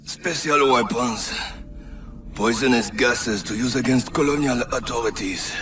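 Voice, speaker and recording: An actor playing a smug, taunting smuggler in a dramatic game cutscene, speaking. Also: male voice, medium pitch, measured pace, a little hiss or hum. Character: smug French smuggler assassin, sly and taunting. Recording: loud cinematic game music behind.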